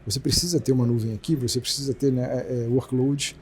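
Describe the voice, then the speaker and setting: Fast-talking Brazilian executive, mellow voice. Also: male voice, medium pitch, a little hiss or hum. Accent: Brazilian Portuguese. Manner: fast, animated explaining with many restarts. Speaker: company president, guest. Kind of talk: casual business interview.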